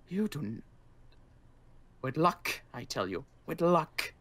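A man acts out a weary survivor of a zombie apocalypse in a game roleplay. He is guarded and sarcastic, and his halting lines swing widely in pitch. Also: big pitch swings, a bit bright, slightly rough, heavily acted. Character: weary, gruff, wary male zombie-apocalypse survivor with a gun. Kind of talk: improvised Minecraft roleplay.

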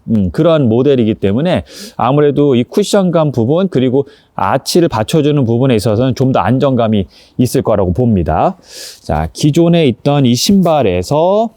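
A man's voice: medium pitch, dark tone, no room sound, studio quality. A man presenting a casual hands-on review, explaining each step politely as he demonstrates.

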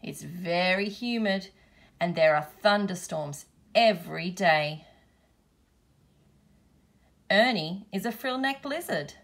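A woman reading a children's picture book aloud in measured, deliberate phrases. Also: fairly low pitch. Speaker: storybook reader, teacher.

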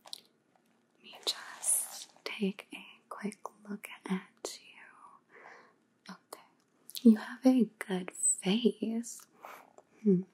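Woman playing a slow soft-spoken trendy housemate. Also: mid-range voice, fairly even intonation, in a whisper, low-quality recording. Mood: appraising, aloof. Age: young adult. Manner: casual valley-girl drawl full of "like". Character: aloof, trend-obsessed young female housemate among vampires. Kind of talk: ASMR roleplay.

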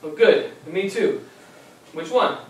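A man's voice: high voice, slow. A young man acting as a fond, patient grandson in a memory play, speaking in a wry, reflective way. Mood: gently coaxing. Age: young adult. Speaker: stage actor and narrator. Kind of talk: memory play monologue and dialogue. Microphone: far audience camera. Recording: very echoey theater, distant camera, faint noise.

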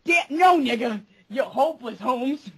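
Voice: sits high, lively intonation, a little slow, noisy background. An amateur fandubber voicing a betrayed, accusing young male soldier in slangy, profane street talk for a comic parody. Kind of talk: amateur comedic fandub, improvised over a Skype call.

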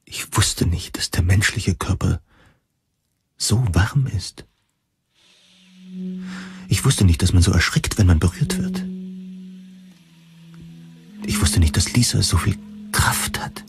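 A man playing a lonely, hypersensitive survivor, narrating a dystopian radio drama in measured, solemn, formal words. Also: low-pitched, expressive, creaky, music in the background, heavily acted. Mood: unsettled. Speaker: narrator and protagonist. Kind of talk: introspective first-person radio drama narration.

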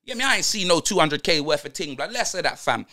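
A man's voice: on the high side, big pitch swings, fast, pushed and bright. A man playing a brash young London roadman football fan, ranting in heavy slang and tagging lines with blood and fam. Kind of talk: comedic football rant.

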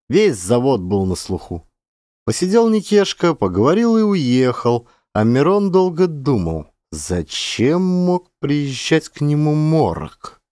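A man narrating a literary audiobook as an expressive storyteller. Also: medium pitch, no echo, clean studio sound.

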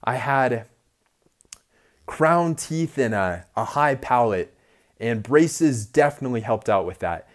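Young man, nasal, unhurried delivery, earnest upbeat vlogger speaking into an earphone mic in a small room. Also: medium pitch, animated. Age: young adult. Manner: upbeat, earnest, talks to camera. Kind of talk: casual self-improvement vlog. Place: small indoor room by a door. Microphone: earphone headset mic.

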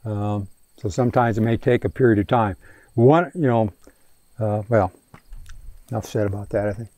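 A mid-pitched man with a mellow, relaxed tone answers questions from a crowd standing in a prairie pasture. He hedges and restarts often, speaking into a handheld microphone that keeps his voice close and dry. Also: normal speed, no room sound. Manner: hesitant, many restarts and fillers. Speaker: range scientist answering questions. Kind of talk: outdoor field day Q&A. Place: open prairie pasture outdoors.

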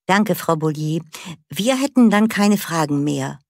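A woman's voice: low-pitched, expressive, a little slow, no room sound, studio quality. A woman reading the part of a polite, formal job interviewer in a scripted dialogue for language learners.

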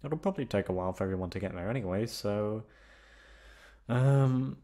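Man acting as a low-voiced, playful college guy. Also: a little fast, mellow, natural voice. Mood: casual. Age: young adult.

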